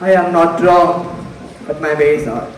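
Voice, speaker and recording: A teenage boy playing a murderous, self-righteous judge in a dramatic, deliberate stage monologue with repeated phrases. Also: on the high side, background noise, close to an everyday voice. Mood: fevered, menacing. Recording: echoey hall, far mic, faint music.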